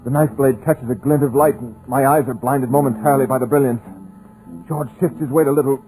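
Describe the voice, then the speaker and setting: A man's voice: mid-range voice, steady tone, fairly quick, natural voice, rough recording. An actor playing a terrified, paralyzed male writer narrating in a fearful voice, in 1940s radio acting. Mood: dread. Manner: frantic then hushed, fearful narration. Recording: old radio recording with music.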